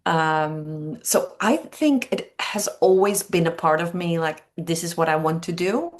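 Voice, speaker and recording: Slow-spoken Dutch woman in her fifties. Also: fairly low pitch. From Netherlands. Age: 50s. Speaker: interview guest, training coach. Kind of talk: reflective conversational interview answers.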